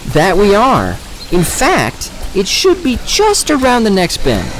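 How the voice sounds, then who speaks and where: A man's voice: high-pitched, wildly swooping pitch, slow, slight background noise, exaggerated voice, low-quality recording. An actor playing a self-assured young man in an audio drama, teasing and articulate, earnest about loyalty. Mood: light.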